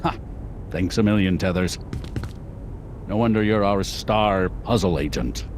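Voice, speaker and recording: Very deep-voiced, brusque agency boss. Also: male voice, unhurried, background noise. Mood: jovial. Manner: breezy, dismissive, folksy. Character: brusque, glib male government agency boss. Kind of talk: animated video game cutscene acting.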